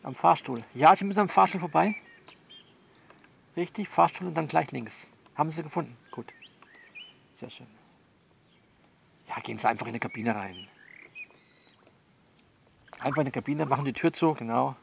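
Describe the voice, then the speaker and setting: An actor as a bossy, soothing man with a creaky voice. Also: fairly high pitch, a little hiss or hum. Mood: bossy, coaxing. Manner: halting, repetitive, instructive, reassuring. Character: bossy, soothing male confidant coaching a nervous woman. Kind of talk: one-sided phone conversation in an audio novel.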